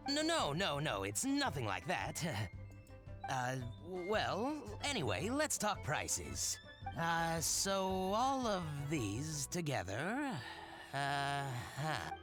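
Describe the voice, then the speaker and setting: A nervous video game shopkeeper, eager to please and easily flustered. His pitch leaps around while the delivery stays slow and halting. Music plays clearly behind him. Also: wildly swooping pitch, heavily acted. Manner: flustered, stammering, deferential.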